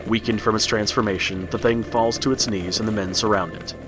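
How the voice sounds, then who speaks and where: A man's voice: fairly low pitch, steady tone, fairly quick. A man narrating a horror tale as a scripted read-along storybook. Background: music.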